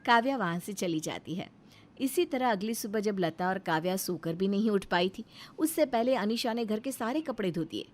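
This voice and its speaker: A woman's voice: mid-range voice, expressive, moderate pace, a little mellow, a little hiss or hum. A woman narrating a scripted moral story as storyteller.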